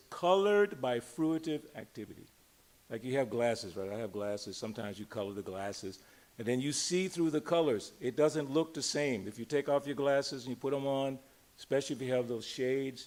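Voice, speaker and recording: An older man giving an informal temple sermon, conversational yet emphatic, putting questions to his listeners and drawing out key words. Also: mid-range voice. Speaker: religious teacher giving a temple discourse. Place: speaking live to a gathering in a temple.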